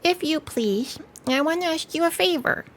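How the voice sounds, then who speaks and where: A woman's voice: high voice, animated, moderate pace, a bit bright, pitched above the performer's own voice. A woman voicing a timid, eager young male mole in a storybook read-aloud, polite and earnest. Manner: polite, earnest, slightly shy.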